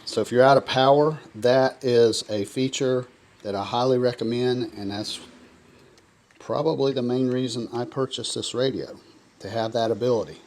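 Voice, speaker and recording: A man's voice: mid-range voice, normal speed, a little mellow. An American man giving a casual demonstration as a product reviewer. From coastal North Carolina, US. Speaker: product reviewer presenting to camera.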